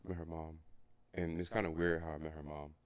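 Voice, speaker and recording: A young man co-hosting a podcast, telling stories in a laid-back way that turns animated. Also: very deep voice, quick pace, dry recording. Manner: laid-back storyteller who gets animated. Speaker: podcast co-host. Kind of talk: casual chat.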